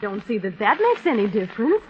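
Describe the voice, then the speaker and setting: An actress playing a curious, eager wife who talks in a chirpy, pressing way, in 1940s radio drama style. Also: female voice, mid-range voice, big pitch swings, a little fast, close to an everyday voice. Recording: muffled old radio broadcast with hiss.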